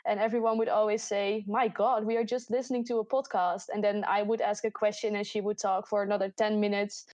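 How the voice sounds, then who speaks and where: A young Dutch woman in her twenties speaks English with a clear Dutch accent, chatting easily and breaking into laughter. Her voice sits at an ordinary middle pitch. The sound is dry and close, with no room around it. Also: flat delivery, a little fast, darkish tone, dry recording. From Netherlands. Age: 20s. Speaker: podcast co-host. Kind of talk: casual chat. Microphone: voice chat recording.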